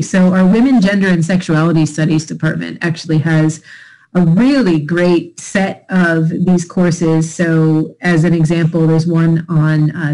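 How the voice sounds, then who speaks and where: A woman's voice: on the low side, mellow, poor audio. A middle-aged woman giving a conversational college information presentation from home over a video call, explaining programs with occasional fillers. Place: home room. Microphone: laptop webcam on a video call.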